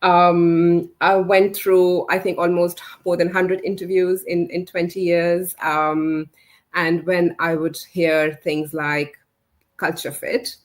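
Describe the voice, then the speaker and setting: Low-voiced woman, halting and reflective. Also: level tone. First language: not English. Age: 40s. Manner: halting, many fillers and restarts. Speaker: interview guest, communications leader. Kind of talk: reflective career story in a live chat.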